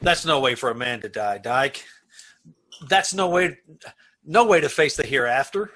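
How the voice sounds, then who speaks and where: A man's voice: mid-range voice, animated, normal speed, pushed and bright. A man acting an earnest prison warden in an old-time radio play, speaking emphatically and pleading with a condemned prisoner. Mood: solemn, resigned. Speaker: amateur radio-troupe actor.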